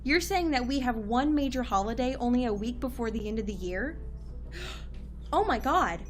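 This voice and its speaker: A woman playing an earnest, excitable team leader in an audio comedy drama, with a conversational, exclamatory delivery. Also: on the high side, normal speed, natural voice. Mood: stunned disbelief. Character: earnest, excitable female agent leading a team.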